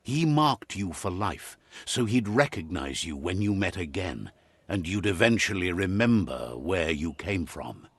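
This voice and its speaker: An actor voicing a wry, wise male storyteller, narrating a video game fable in a measured, theatrical way. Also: sits low, big pitch swings, husky, no room sound, full character voice. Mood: grave, ominous.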